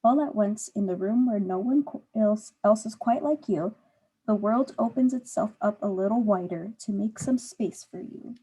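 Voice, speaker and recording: A woman teacher with a husky voice reading aloud and patiently putting simple guiding questions to a student. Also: medium pitch, normal speed, warm tone, poor audio. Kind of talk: read-aloud and one-on-one comprehension questions.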